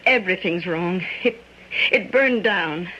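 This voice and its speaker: A woman's voice: lowish voice, unhurried, pushed and bright. Earnest, caring woman, muffled. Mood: distressed. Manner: earnest, solicitous. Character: caring, anxious woman looking after an older gentleman. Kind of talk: old-time radio drama acting. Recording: muffled radio recording, faint noise.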